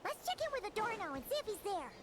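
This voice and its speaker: Squeaky, tiny childlike game companion. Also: female voice, very animated, quick pace, very noisy. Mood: chatty. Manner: speaks of herself in third person. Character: tiny floating childlike female companion, chatty and suspicious. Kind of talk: video game voice acting. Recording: game dialogue under loud music.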